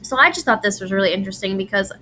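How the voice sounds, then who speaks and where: A woman giving a recorded lecture presentation, unhurried with frequent "um"s and restarts, her voice a little strained from allergies. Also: medium pitch, animated, sharp tone, a little hiss or hum. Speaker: presenter on grief and incarceration.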